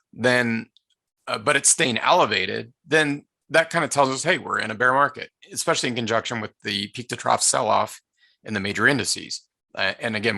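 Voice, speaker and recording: A man with a hoarse, deeply gravelly voice talks through market charts in a live trading webinar. His tone is bright and forceful, and the sound is close and dry. He speaks in a halting way, full of "uh"s and restarts. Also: no echo. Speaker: trading educator presenting a live market session.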